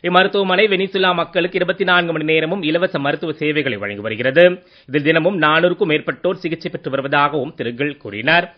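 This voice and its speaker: Higher-voiced man reading radio news. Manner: formal, measured reading. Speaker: news reader. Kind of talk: formal scripted radio news bulletin. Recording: dull lo-fi radio sound.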